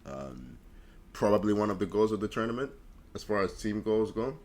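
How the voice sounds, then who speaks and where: Low-pitched guy just chatting about football on a podcast, informal, bit sweary. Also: expressive, moderate pace, a little mellow. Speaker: podcast co-host. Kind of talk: casual football chat.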